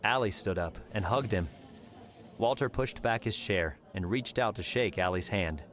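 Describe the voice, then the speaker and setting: A man's voice: lowish voice, moderate pace, fairly bright tone. A man reading scripted story narration as an audiobook narrator.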